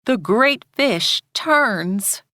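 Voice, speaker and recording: A woman voicing a widow sorceress and mother in a storybook reading, grave, deliberate and formal. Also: on the high side, lively intonation, bright, forceful tone, no room sound, studio quality, pitched above the performer's own voice, heavily acted. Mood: intense. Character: solemn, commanding widow sorceress, a loving mother.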